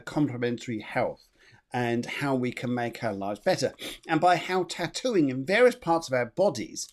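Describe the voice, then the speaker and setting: Chatty rambling male show host. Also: fairly high pitch. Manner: chatty, rambling, laughs often. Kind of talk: live online chat show.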